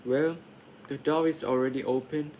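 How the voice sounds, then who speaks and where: A man's voice: mid-range voice, fairly even intonation, normal speed, a little mellow, natural voice. A man playing a curious, hungry little fairy-tale girl in a puppet show. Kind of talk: puppet show character dialogue. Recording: clear background noise.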